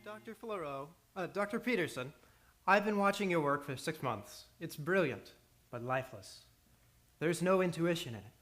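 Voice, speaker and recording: A man's voice: fairly high pitch, expressive, moderate pace, a bit bright, soft background music. A man playing a critical male psychiatrist in an old-time radio play, lecturing bluntly. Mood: critical, pressing.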